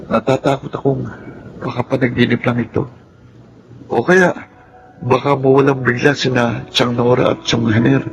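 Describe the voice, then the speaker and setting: A Filipino man playing an anxious, gentle, polite orphan youth in a radio drama. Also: medium pitch, little pitch movement, normal speed, gravelly, long pauses, background noise, lightly acted. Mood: fearful, tender. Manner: earnest, polite.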